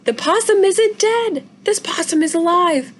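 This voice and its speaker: A woman's voice: extremely high pitch, expressive, measured pace, slight background noise, voice pushed up. A woman voicing an earnest, emotional young girl in a storybook reading. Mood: shocked, excited. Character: young girl, tearful then excited, earnest.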